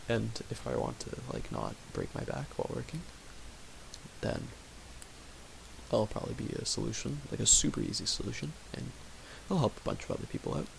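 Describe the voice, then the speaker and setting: A young man talking quietly in a raspy voice, rambling with fillers as he thinks aloud while making music. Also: medium pitch, huge pitch swings, moderate pace, long pauses. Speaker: music producer streaming. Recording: home recording with audible hiss.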